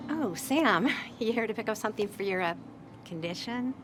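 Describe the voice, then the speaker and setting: A woman's voice: mid-range voice, expressive, music in the background, lightly acted. An actress playing a breezy, oversharing small-town woman in a video game, chatty and tactless. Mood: coy, needling. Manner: breezy, chatty, drawn-out insinuations. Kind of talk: video game dialogue acting.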